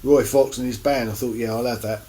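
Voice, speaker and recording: A man giving a casual, unscripted show-and-tell to camera as a record collector, in a halting, rambling way with many pauses. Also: medium pitch, fairly even intonation, dark tone. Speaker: record collector presenting finds on his channel.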